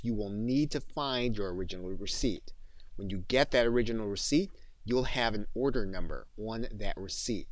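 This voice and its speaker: A man with a fairly low voice narrates a scripted software tutorial. He speaks as an instructor, plainly and step by step. The recording is very dry, with almost no room sound. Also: no echo. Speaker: instructor, course host.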